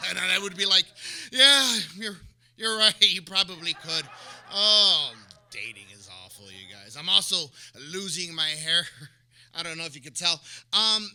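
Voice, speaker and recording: A male stand-up comedian speaking casually on stage with a halting, self-deprecating manner. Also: sits high, expressive, bright, forceful tone. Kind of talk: live stand-up routine and casual interview.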